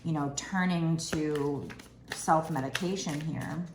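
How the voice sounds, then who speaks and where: Raspy, low-voiced woman reading tarot. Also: slow, roomy sound, noisy background. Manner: chatty, rambling, with many fillers. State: raspy from lack of sleep. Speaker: tarot reader. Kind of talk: casual tarot card reading. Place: room at a table indoors.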